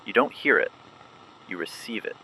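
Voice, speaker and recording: Deep, forceful, ominous resistance broadcaster played by a man. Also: expressive, normal speed, slightly rough, exaggerated voice, low-quality recording. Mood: grave, ominous. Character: grave male resistance informant explaining a sinister secret process. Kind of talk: scripted dystopian radio broadcast narration.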